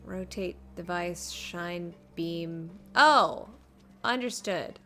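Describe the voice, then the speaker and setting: Casual female streamer, expressive and exclamatory, very bright tone, game music underneath. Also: fairly low pitch, drawn-out words. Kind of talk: casual reactive game commentary.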